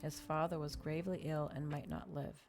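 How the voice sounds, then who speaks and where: A woman reading a novel aloud in ASMR style, with a soft, hushed voice and measured, unhurried delivery. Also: low-pitched, fairly even intonation, soft background music. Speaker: ASMR streamer.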